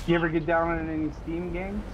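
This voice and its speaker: A man's voice: on the high side, level tone, quick pace, dull audio, background noise. A man in his late twenties chatting casually and asking questions on a stream voice call. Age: 20s. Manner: curious, asks questions, hesitant restarts. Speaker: stream co-host. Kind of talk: casual chat over voice call.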